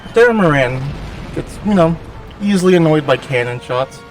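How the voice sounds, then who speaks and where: A man with a cold and a nasal, slightly gravelly voice, giving chatty, self-deprecating voice-over commentary over gameplay. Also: fairly high pitch, lively intonation, moderate pace, distant mic, faint music behind, low-quality recording. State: has a cold. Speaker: gaming channel host.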